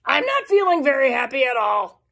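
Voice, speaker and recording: A woman's voice: sits high, big pitch swings, a little fast, creaky, a bit bright, pitched above the performer's own voice, exaggerated voice. A woman voicing Kansas, a glum, bored male state who moans and complains in a storybook. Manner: moaning, complaining.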